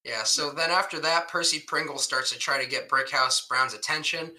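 A man co-hosting a podcast, recapping in a loose chat with plenty of laughter and cursing. His voice is a touch higher than most, with a very bright, forward tone. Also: normal speed, slight background noise, low-quality recording. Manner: laughs often, swears freely, animated recapping. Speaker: podcast co-host. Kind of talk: casual chat.

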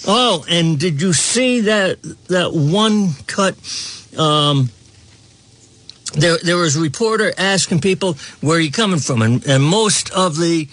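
A male talk show host speaks slowly and deliberately in a somewhat high voice. He rambles through a political commentary monologue, restarting phrases and chuckling now and then. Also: lively intonation, a bit bright. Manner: slow, rambling delivery with frequent restarts and chuckles.

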